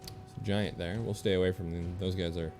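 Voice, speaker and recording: A man giving casual, low-key gameplay commentary to himself and his stream viewers. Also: fairly even intonation, brisk, music in the background. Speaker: game livestreamer.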